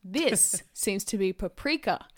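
A woman's voice: medium pitch, lively intonation, slow pace, no echo, studio quality. A woman voicing an eager, breathless male sidekick reporting evidence in a story reading, with an urgent delivery. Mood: urgent explaining. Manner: panting, urgent, huffing delivery. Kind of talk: amateur audiobook-style character reading.

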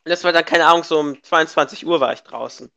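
A man's voice: on the high side, fast talker, creaky, bright, forceful tone, smooth, muffled recording. A young man co-hosting a casual gaming podcast, a bit sick and congested, rambling with many restarts and fillers. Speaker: podcast co-host. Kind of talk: casual chat.

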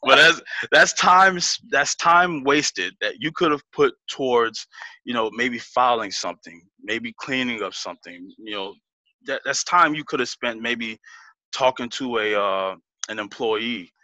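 A man's voice: mid-range voice, unhurried, sharp tone, no echo, low-quality recording. A man as an interview guest, laughing easily and explaining things step by step with many restarts. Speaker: business guest. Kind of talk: casual interview talk.

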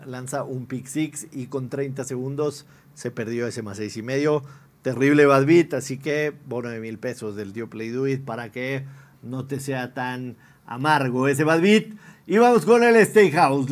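A man with a Mexican accent hosting a sports show in a casual, slangy panel style. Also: medium pitch.

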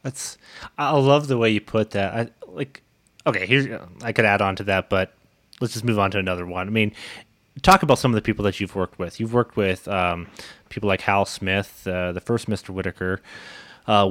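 A man hosting an interview in a casual, informal and halting way, feeling out his questions as he goes. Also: fairly low pitch, a bit bright, no room sound. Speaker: podcast host, interviewer.

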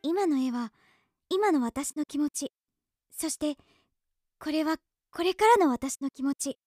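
High-voiced, earnest teenage girl, music behind. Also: animated, measured pace, darkish tone, dry recording. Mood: shy, hopeful. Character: earnest, gentle teenage girl who paints, shy and sincere. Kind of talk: Japanese radio drama acting. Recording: studio drama with music underneath.

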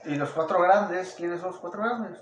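A man's voice: sits high, normal speed. A man with a Mexican accent bantering casually about sports as a podcast co-host, slangy, sweary and argumentative. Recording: echoey room, noticeable background noise.

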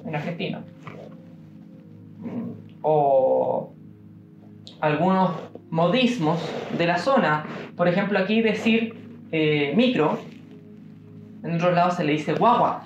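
A man's voice: on the high side, gravelly, faint music behind. Musing young Chilean man, roomy sound. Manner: reflective, musing, with frequent pauses and fillers. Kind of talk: informal devotional talk. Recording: roomy, dull-sounding room recording.

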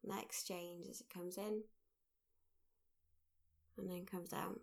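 A woman leading a guided mindfulness meditation, speaking gently in short, unhurried phrases with pauses. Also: lowish voice, flat delivery, gravelly. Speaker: meditation guide, workshop leader.

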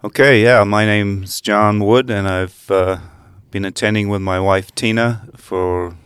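Older man, very deep voice, smooth and resonant, dry close sound, easygoing. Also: fairly even intonation, measured pace, a bit bright, no room sound. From England. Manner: easygoing, rambling. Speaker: guest. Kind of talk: casual conversation.

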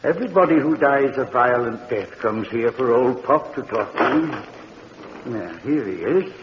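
An actor playing a kindly old morgue keeper who speaks with the dead, in a soft, earnest, patient manner, in 1940s radio drama style. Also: male voice, mid-range voice, animated, moderate pace, natural voice. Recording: dull old radio recording in a reverberant studio, faint hiss.

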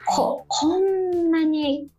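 A woman's voice: extremely high pitch, fairly even intonation, drawn-out words. A woman speaking as a radio guest promoting a film, polite and earnest, with hesitant phrasing. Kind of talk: conversational film promotion on a radio talk show. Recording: roomy, dull sound, faint music behind. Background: faint music.

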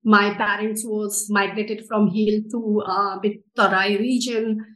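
A woman's voice: mid-range voice, level tone, slow pace, fairly bright tone, reverberant, rough recording. A middle-aged woman from Nepal with a Nepali accent and non-native English phrasing, giving earnest explanations as an interview guest. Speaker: interview guest, social enterprise director.

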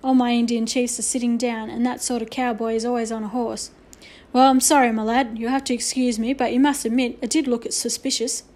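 A woman's voice: on the high side, level tone, a little fast, close to an everyday voice. Woman acting a scolding, suspicious male shopkeeper. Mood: grudging. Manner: heavy, forceful, scornful scolding. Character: angry, suspicious, middle-aged male shopkeeper, scolding and accusing.

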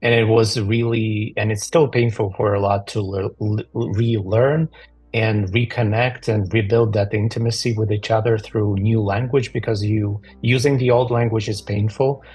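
A man from Ukraine with a low, husky voice speaks earnestly on a podcast. He tells stories at an even conversational clip with small hesitations. Faint music plays behind him. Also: normal speed, low-quality recording. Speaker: podcast co-host.

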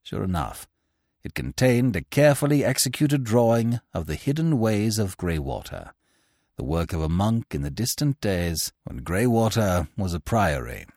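A man doing a hoarse, weakened detective. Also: lowish voice, very animated, moderate pace, a little mellow, no echo, studio quality. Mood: explaining. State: hoarse, weak. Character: injured, weakened man detective, clipped and determined.

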